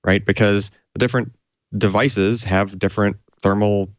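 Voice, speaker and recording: A man's voice: lowish voice, steady tone, moderate pace, no echo. A man co-hosting a casual tech podcast, explaining things in a rambling, informal way, on slightly dull audio. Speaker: podcast co-host.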